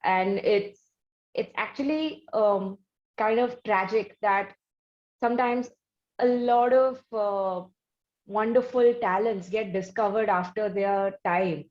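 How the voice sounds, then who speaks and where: A woman with an Indian accent hosting a conversational interview, speaking in halting, drawn-out phrases. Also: medium pitch, fairly even intonation. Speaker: interview host. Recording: muffled, echoey room sound.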